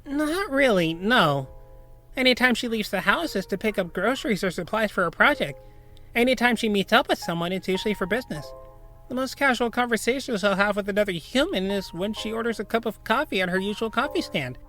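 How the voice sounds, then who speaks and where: A man's voice: sits high, expressive, fairly quick, pushed and bright, soft background music, pitched above the performer's own voice, heavily acted. A man voicing an earnest young male talking cat who chats casually and hesitantly in an audio story. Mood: fond, amused.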